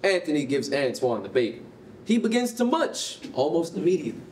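A man with an American accent narrates a staged reading in a high voice with animated swings of pitch. He reads scripted stage directions in a roomy hall, picked up from a distance. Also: fairly quick. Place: concrete-walled rehearsal hall. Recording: roomy, distant mic, faint noise.

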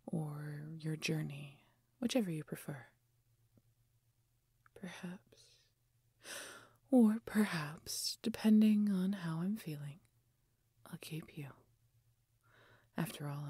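A woman voicing a proud, haughty wounded female dragon in a fantasy audio roleplay, with breathy, husky, imperious delivery. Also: deep voice, warm tone, no echo, studio quality, heavily acted. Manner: imperious, theatrical, deliberate phrasing.

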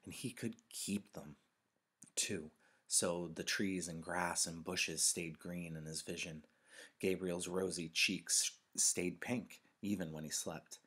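A man reading a novel aloud like a teacher, in a measured, expressive storytelling manner. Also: sits low, breathy edge. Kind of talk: audiobook-style read-aloud narration of a novel.

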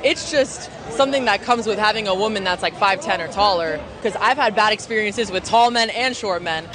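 A woman's voice: medium pitch, fairly quick, a loud crowd. A woman in her twenties answering street interview questions frankly and confidently on a city street at night. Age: 20s. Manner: frank, self-assured.

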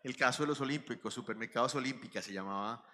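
A man's voice: mid-range voice, fast talker, bright, forceful tone. A middle-aged man with a Colombian accent giving a conference talk on stage, explaining and putting questions to the audience. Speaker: conference speaker. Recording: reverberant hall, faint ambience.

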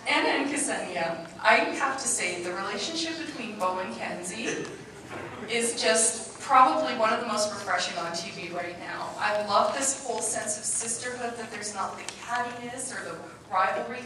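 A woman's voice: medium pitch, moderate pace. A woman moderator asking earnest, admiring questions at a convention panel. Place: large convention hall. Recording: echoey hall from far off, noisy.

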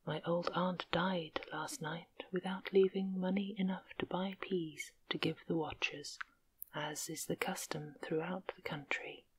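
A woman voicing a humble young prince raised by peasants, in a soft whisper. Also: lowish voice, steady tone, measured pace, slight background noise, lightly acted, low-quality recording. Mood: earnest.

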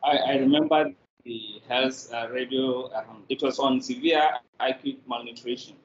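A man's voice: mid-range voice, fairly even intonation, normal speed. A middle-aged man with a Nigerian accent, answering interview questions on a video call from a room indoors. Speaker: interview guest, media producer. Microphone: video call, device mic. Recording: echoey, dull, noisy video call.

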